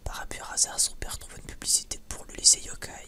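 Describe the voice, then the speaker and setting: Whispering man, casual ASMR chat. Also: fast talker. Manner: hesitant, stammering, repeats words; informal, frequent swearing. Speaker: ASMR video creator.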